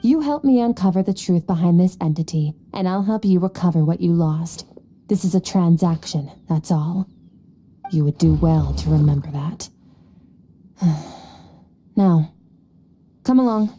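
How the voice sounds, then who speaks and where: A woman's voice: on the low side, a little slow, long pauses, dark tone. A woman playing a cold, aloof and powerful young female warrior in a video game, curt, detached and condescending. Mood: brusque, businesslike.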